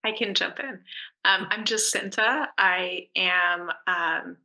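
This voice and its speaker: Woman, therapist, very bright tone, gravelly, brief, casual, video call. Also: medium pitch, steady tone, slow, poor audio. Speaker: assistant professor of social work and therapist. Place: at a conference abroad.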